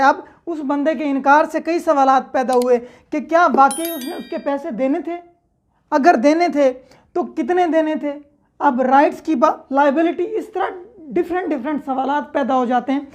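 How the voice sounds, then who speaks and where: A Pakistani-accented woman speaks in a clearly high voice. She teaches law in an explanatory lecture style, alternating formal reading with plain, example-led explanation. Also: a little mellow. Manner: reads clauses aloud, then explains with simple examples.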